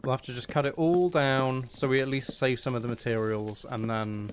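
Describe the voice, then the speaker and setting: A man's voice: medium pitch, fairly even intonation, moderate pace, slight background noise. A man chatting casually and in a rambling way as he hosts a livestream while gaming. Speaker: streamer, ASMR channel host.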